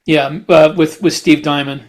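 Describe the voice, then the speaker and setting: A man co-hosting a podcast in casual chat, talking haltingly and often restarting his phrases. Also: fairly high pitch, steady tone. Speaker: podcast co-host and fiction writer.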